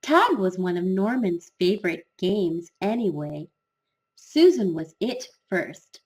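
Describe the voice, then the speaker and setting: Female children's storybook narrator: slow, expressive delivery with big swings in pitch and dramatic emphasis.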